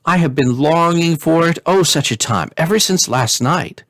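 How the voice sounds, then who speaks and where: A man's voice: on the high side, expressive, moderate pace, fairly bright tone, no echo, studio quality, pitched above the performer's own voice. A man voicing a playful, flippant teenage princess in a storybook, airy and teasing. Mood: gleeful, eager.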